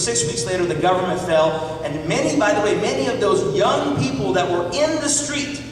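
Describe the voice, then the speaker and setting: A man's voice: high voice, noisy background. A man from Iran, a pastor, giving an emphatic political speech to a live audience and firing rhetorical questions at the crowd. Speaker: pastor and public speaker. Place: large meeting hall. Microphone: distant mic in the room. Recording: echoey hall recorded from far off.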